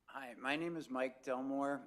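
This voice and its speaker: A middle-aged man speaks to residents in a council chamber. His talk is loose and conversational, with "um"s and the odd short laugh. His pitch is mid-range for a man. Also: fairly even intonation, moderate pace, fairly bright tone. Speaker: city manager candidate. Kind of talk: public meeting introduction.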